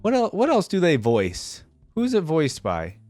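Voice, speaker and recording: A man gives casual reaction commentary as the host of a video. His pitch moves around a lot as he talks. The sound is dry and close, with music playing behind him. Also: wildly swooping pitch, a little slow, darkish tone, dry recording.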